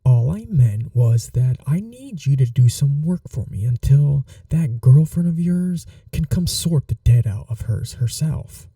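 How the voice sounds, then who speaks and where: A man acts out a calm mafia boss with a very dark, mellow voice and a gravelly creak. He speaks softly and slowly in an ASMR roleplay style. His manner is protective, reassuring and teasingly flirty. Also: mid-range voice, dry recording, far from the mic. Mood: soothing, reassuring. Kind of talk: soft-spoken ASMR roleplay acting.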